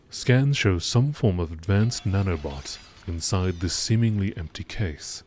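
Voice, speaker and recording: Male narrator, low pitch, measured formal delivery, documentary-style scripted reading, scientific report tone. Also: a little mellow, a little hiss or hum. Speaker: narrator reading game lore entries.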